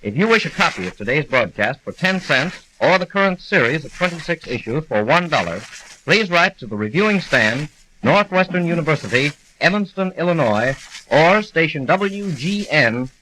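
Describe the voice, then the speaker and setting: A man's voice: on the high side, animated, moderate pace, bright, forceful tone, slight background noise, poor audio. An American man reading scripted announcements in 1940s radio style on an old broadcast recording.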